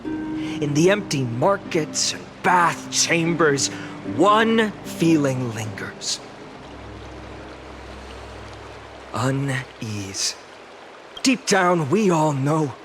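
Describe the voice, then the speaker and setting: An actor playing a solemn young video game hero, giving a measured, earnest speech to a grieving city. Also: male voice, fairly high pitch, lively intonation, over music. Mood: somber.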